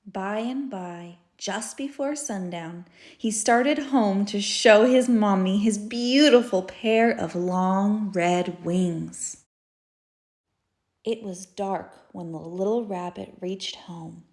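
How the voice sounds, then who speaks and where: Casting: female storybook reader, very unhurried, expressive, deliberate phrasing, recorded in an echoey room. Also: mid-range voice. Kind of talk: children's story read-aloud. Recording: roomy echo.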